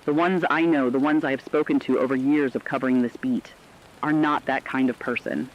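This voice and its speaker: A man playing an earnest radio correspondent, giving sombre, deliberate scripted commentary on a disaster. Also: mid-range voice, steady tone, close to an everyday voice. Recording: dull lo-fi radio-style sound.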